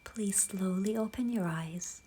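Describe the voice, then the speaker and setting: A woman leading a guided visualization in a soft, hushed, breathy voice, gentle, unhurried and soothing. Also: medium pitch, a little hiss or hum. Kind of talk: slow guided meditation narration.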